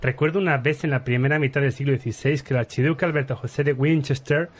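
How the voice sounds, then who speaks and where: An actor playing a talkative, rambling viscount in a comic parody of a radio soap opera. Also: male voice, mid-range voice, steady tone, fast, close to an everyday voice. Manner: long-winded. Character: chatty, rambling male viscount telling tall tales.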